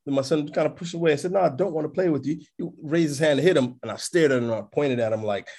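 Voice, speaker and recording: A man, a podcast guest telling long stories in a relaxed interview. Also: fairly high pitch, rapid-fire. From North America. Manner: expansive storyteller, checks in with "right?". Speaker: podcast guest, sports agent. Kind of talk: casual interview chat.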